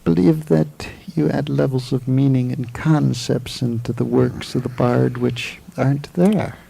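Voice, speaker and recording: A man playing a condescending physics professor in a 1980s audio drama, pompous, formal and mock-courteous, with a very creaky voice. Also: mid-range voice, fairly even intonation, unhurried, mellow, a little hiss or hum. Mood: candid, disdainful.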